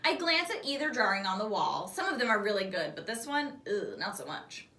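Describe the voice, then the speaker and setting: A woman reading a children's novel aloud like a teacher, expressive and animated, acting out the dialogue. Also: medium pitch, brisk. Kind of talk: expressive storybook read-aloud. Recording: roomy echo, distant mic.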